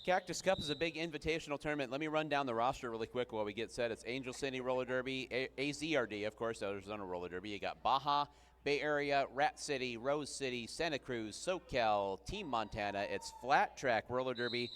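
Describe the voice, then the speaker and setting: A man in his forties doing live play-by-play for roller derby, joking between jams and getting excited during the action, his voice low and tired. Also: a little fast, clear, resonant tone. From United States. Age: 40s. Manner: excitable, jokey, rising to shouts during play. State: tired low voice after a show. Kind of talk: live sports commentary.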